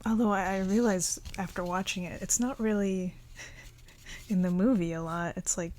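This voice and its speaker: A woman speaking softly and often in a near whisper, in a relaxed, chatty ASMR show-and-tell. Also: mid-range voice, normal speed. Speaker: ASMR video creator showing a collection.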